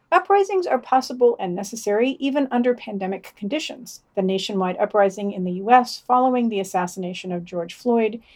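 A woman's voice: mid-range voice, a little mellow. A woman co-hosting a podcast, reading out a news digest with dry, wry asides. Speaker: podcast co-host.

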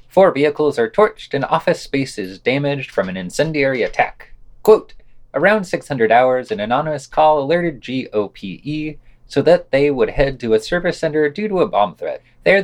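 Man reading news with lively intonation. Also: medium pitch. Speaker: podcast host reading news. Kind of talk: scripted news reading with casual asides.

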